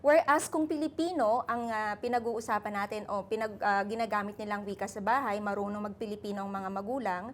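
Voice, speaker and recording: A woman's voice: medium pitch, steady tone, fast talker, few pauses, a little hiss or hum. A woman teacher explaining earnestly in an interview, mostly in Tagalog.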